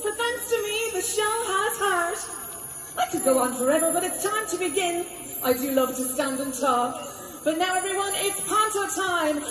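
An actress playing a cheerful, self-regarding pantomime narrator who speaks in a sing-song, theatrical way. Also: female voice, very high-pitched, expressive, normal speed. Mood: bright, welcoming. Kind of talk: stage pantomime storytelling. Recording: echoey stage, distant, loud music.

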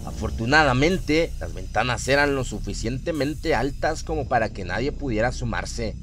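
Male narrator, scripted horror radio drama, mid-range pitch, clear musical backdrop. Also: animated, moderate pace, a bit bright. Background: atmospheric music and sound effects.

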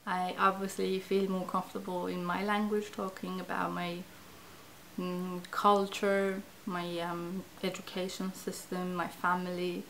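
A woman in her thirties from Iran with a Persian accent, a native Farsi speaker, talking reflectively to camera in a small indoor studio and often pausing to search for words. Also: on the low side, flat delivery, vocal fry, fairly bright tone, a little hiss or hum, poor audio. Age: 30s. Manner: hesitant, searching for words, many fillers. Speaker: interviewee, language project participant. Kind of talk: reflective interview talk to camera.

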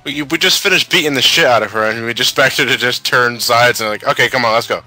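Bright-voiced man, dryly critical. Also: mid-range voice, fast talker, low-quality recording. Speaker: commentator. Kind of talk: casual gameplay commentary. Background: game music.

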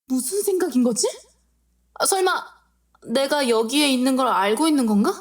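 A woman's voice: sits high, normal speed, close to an everyday voice. A woman voicing a conflicted young woman thinking aloud in an audio drama, fretful and unsure. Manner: fretful inner monologue.

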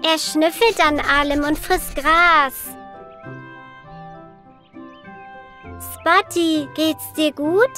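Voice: high-pitched, slow, sharp tone, heavily acted. A performer voicing a cheerful, caring little girl in a children's educational cartoon, explaining things simply. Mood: worried. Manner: simple, clearly explained sentences for small children. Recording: children's music behind the voice.

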